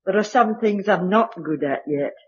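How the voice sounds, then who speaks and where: A woman playing a gentle, stoic blind survivor in a radio drama. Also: medium pitch, animated, normal speed, slightly rough. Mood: patient, apologetic. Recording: dull lo-fi radio recording.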